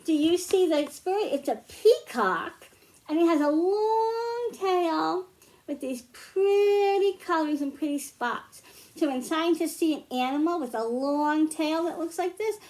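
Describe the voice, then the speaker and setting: American female children's librarian, high register, slow delivery, child-directed read-aloud and simple teaching. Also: lively intonation, a little mellow. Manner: simple, child-directed phrasing with questions to listeners. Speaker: children's librarian hosting storytime.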